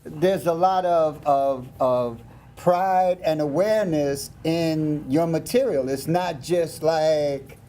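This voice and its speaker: Casual male interview host. Mellow, unhurried, repetitive and halting delivery. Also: fairly high pitch. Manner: halting, repeats himself. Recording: faint background noise.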